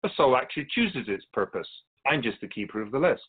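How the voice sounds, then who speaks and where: Man acting courteous otherworldly keeper of souls, animated pitch. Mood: patient, explaining. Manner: measured, formal phrasing with gentle questions. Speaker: guest on a radio show. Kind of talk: radio drama dialogue. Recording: thin phone-like band-limited audio.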